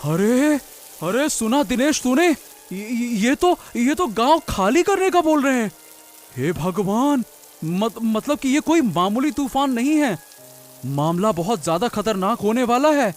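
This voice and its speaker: A man voicing village farmer brothers in a cartoon story, exclamatory and expressive. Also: extremely high pitch, unhurried, heavily acted. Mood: alarmed. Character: two farmer brothers, one anxious, one carefree. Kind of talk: animated Hindi cartoon dialogue.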